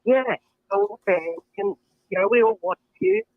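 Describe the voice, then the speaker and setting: A man's voice: high voice, sing-song, a little slow, creaky, no echo. An older man from Australia calling into a show, talking in a hesitant, rambling way with giggles, over a tinny phone line. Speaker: caller.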